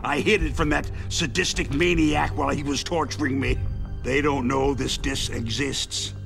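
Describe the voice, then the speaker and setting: A man voicing a wounded, cynical arms company executive in a video game, with a creaky voice and a weary, condescending way of explaining things. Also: medium pitch, fairly even intonation, normal speed, over music. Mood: weary, curt. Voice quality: creaky, gravelly.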